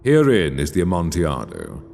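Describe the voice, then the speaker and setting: A man voicing a cold, falsely courteous gentleman with murder in mind, measured and mock-polite, in a gothic audiobook. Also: very deep voice, wildly swooping pitch, gravelly, long reverb tail, faint music behind, exaggerated voice. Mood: mock concern. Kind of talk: gothic audiobook character acting.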